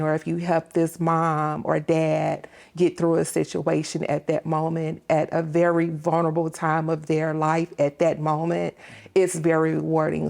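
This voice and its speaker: A woman answering interview questions as a police dispatcher, explaining things conversationally with pauses to think. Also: sits low, gravelly, a little mellow.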